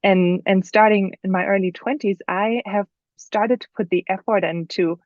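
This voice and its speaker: A woman's voice: medium pitch, fairly even intonation, normal speed, no echo, muffled recording. A woman with a German accent, a guest author telling her story in a casual podcast interview, earnest and thoughtful with many fillers.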